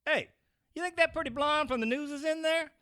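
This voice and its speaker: A man's voice: very high voice, brisk, bright, forceful tone. A man playing a crude, leering street bully in an audio drama, slangy and swaggering. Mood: boastful.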